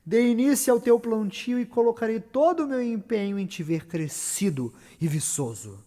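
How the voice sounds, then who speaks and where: A majestic, grateful king of classical theatre, acted by a man with a Brazilian accent. The voice is pitched high for a man and rings through a long reverb. He proclaims to his nobles with grand, effusive warmth. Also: lively intonation, normal speed. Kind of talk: theatrical Shakespearean acting. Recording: reverberant room with long echo.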